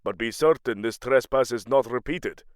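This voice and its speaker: A man's voice: medium pitch, normal speed, no echo, studio quality, lightly acted. A man playing a commanding, bloodthirsty Norse god-king in a fantasy audio drama, taunting in formal, archaic phrasing.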